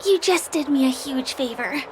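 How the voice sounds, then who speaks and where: Firefly, a sweet young guide. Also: female voice, sits high, lively intonation, moderate pace, background noise. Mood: friendly. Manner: gentle, eager to please. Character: friendly young woman guide and stage performer. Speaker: game character. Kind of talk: game dialogue voice acting. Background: game music.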